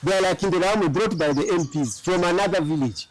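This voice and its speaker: A man's voice: high voice, fast talker, rough recording. A man who is a lawyer and studio guest on a political talk show, making his case emphatically, point by point.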